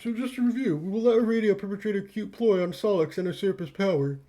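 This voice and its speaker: A man's voice: high voice, quick pace, warm tone, lightly acted. A man voicing a brash, bossy young female troll who schemes and mocks with sarcasm. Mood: scheming, smug. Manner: snarky, bossy, mocking.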